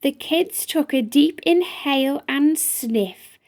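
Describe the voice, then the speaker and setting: A woman's voice: high voice, takes it slowly, darkish tone. A woman reading a rhyming children's picture book aloud as a storytime narrator. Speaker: storytime reader.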